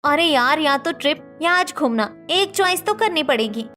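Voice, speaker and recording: A woman voicing a lively, persuasive young college friend in a cartoon story. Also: high-pitched, normal speed, soft background music. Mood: coaxing.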